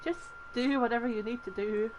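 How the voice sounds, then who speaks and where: High-voiced woman reacting to a video game. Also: a little fast, slight background noise, rough recording. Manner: talks back to game characters, swears. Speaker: gaming video creator. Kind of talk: casual reactions during gameplay. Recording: game music behind the voice.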